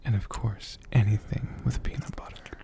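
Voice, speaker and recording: Guy doing soft whispery asmr, gentle n slow, bit of gravelly fry in it. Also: on the low side, steady tone. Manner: gentle, hushed, unhurried. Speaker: ASMR video host.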